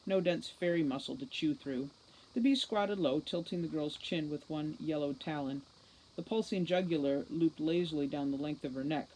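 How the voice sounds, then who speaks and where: A woman giving an expressive reading of a fantasy novel aloud, like a teacher reading to a class. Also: deep voice, a little hiss or hum, poor audio.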